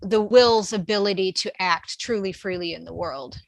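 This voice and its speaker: A woman's voice: mid-range voice, normal speed, vocal fry, no room sound. A woman on a panel speaking earnestly in academic terms. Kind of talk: panel discussion.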